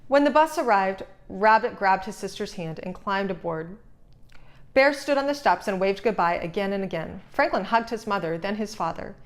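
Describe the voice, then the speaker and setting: A woman reading a children's storybook aloud as narrator, expressive and suited to young listeners. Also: medium pitch, moderate pace.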